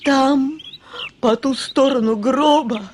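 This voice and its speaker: An actress playing a young landowning widow in a radio play, theatrical and solemnly, proudly mournful. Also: female voice, high-pitched, takes it slowly, slight background noise. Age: young adult. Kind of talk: Russian radio play acting. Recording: studio radio play with soft music.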